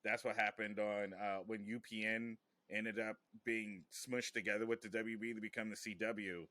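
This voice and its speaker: Bright-voiced man hosting a casual podcast. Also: on the low side, flat delivery, normal speed. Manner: informal, profane. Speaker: podcast host.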